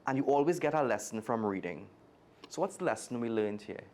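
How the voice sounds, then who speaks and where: A man's voice: mid-range voice, expressive, fairly quick, a little mellow. A man with a Trinidadian accent reading a children's story aloud expressively and speaking directly to young listeners. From Trinidad and Tobago. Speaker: guest storyteller.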